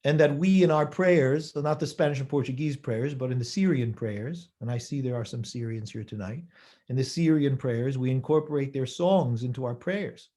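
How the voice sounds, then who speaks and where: Male speaker, mellow voice, measured sermon delivery with rhetorical questions. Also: mid-range voice. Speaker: rabbi giving a holiday talk.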